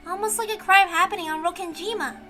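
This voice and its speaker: A woman voicing an excitable, playful young servant with a girlish manner in a visual novel dub, over music. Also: very high-pitched, quick pace, voice pushed up. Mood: excited.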